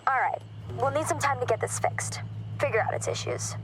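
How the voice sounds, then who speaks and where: A woman's voice: sits high, brisk, noisy background, lightly acted. A woman playing an upbeat, encouraging car enthusiast in scripted video game cutscenes, speaking in an enthusiastic, conversational way. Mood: friendly, upbeat. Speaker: game character, car-hunting companion. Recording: music under the voice.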